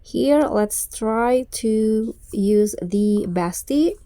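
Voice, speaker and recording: Female tutorial narrator, casual and instructional, with a dark mellow voice and very dry sound. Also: fairly high pitch, expressive, extremely slow, smooth, dry recording. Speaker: tutorial host.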